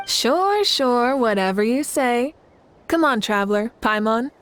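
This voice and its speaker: An actress playing a confident, composed heroic leader with a playful side in a video game, speaking in an assured, wry manner. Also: female voice, on the high side, lively intonation, a little slow, fairly bright tone. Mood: wry, fond. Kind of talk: video game character dialogue. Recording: music behind the voice.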